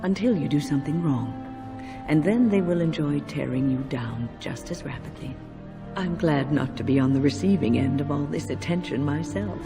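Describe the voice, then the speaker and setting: An old female mage from a fantasy game, kindly and a little wry. She speaks reflectively and with measure, while music plays clearly behind her. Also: low voice, normal speed. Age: older. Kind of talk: video game character dialogue.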